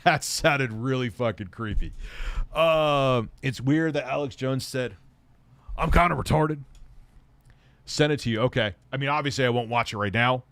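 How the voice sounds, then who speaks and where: A man rambles through a casual livestream, answering viewer comments with plenty of swearing. His voice sits at a middling pitch, close to the mic, in a dry room with no echo. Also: moderate pace, clean tone. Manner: profane, rambling, animated, laughs often. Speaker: tech livestream host.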